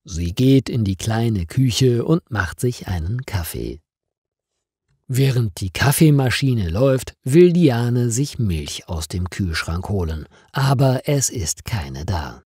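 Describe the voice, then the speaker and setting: A man reads a story slowly and carefully, with lively rises and falls in pitch. The delivery is clearly articulated and expressive, made for learners to follow along. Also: no room sound, studio quality. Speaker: narrator of stories for German learners. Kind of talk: slow scripted story narration.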